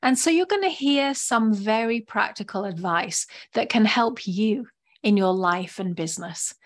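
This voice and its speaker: A woman's voice: mid-range voice, lively intonation, a little slow. A woman hosting a podcast in an upbeat, effusive way, laughing often. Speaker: podcast host.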